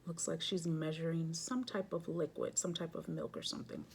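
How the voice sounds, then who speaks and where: Low-voiced woman reading aloud. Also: moderate pace, creaky, very noisy. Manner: expressive, chatty asides while reading. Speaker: host of a children's read-aloud. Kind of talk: casual chat and picture-book read-aloud.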